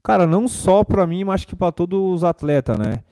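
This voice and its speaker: A young Brazilian footballer answering press questions casually at a desk microphone in a club press room, repeating words and using fillers. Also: male voice, high-pitched, big pitch swings, fairly quick, mellow.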